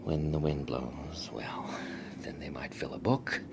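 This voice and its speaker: Through heavy noise comes a very low male voice, a haunted writer in a radio drama. He speaks slowly and broodingly, halting and sinking into whispers as his obsession with the wind takes hold. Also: fairly even intonation, creaky, heavily acted. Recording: very noisy radio drama recording.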